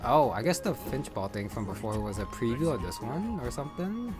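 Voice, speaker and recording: A man's voice: mid-range voice, big pitch swings, brisk, music in the background. A man giving casual, hesitant game playthrough commentary, rambling and thinking aloud as he goes. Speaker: gaming video creator.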